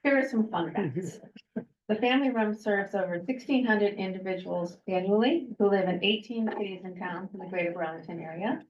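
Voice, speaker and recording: A woman from Vermont giving a prepared talk to a club audience, earnest and steady, with pauses and fillers. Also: medium pitch. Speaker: guest speaker. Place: meeting room. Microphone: handheld microphone, some distance. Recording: echoey room, dull lo-fi sound.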